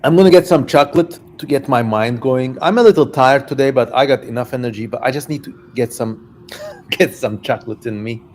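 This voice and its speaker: A man's voice: medium pitch, fairly quick, darkish tone, slight background noise. A man hosting a livestream, commenting casually on what he reads, with informal, mildly profane tangents. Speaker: livestream host. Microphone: stationary mic, leans in to it.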